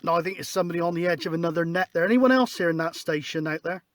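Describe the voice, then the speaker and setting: A high-pitched male voice works the CB radio, calling for other stations in a relaxed, informal style. He talks like a matey hobby operator leading the exchange. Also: very fast, background noise. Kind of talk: casual radio calling and chat. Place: outdoors on a hillside in Wiltshire, at a CB radio set.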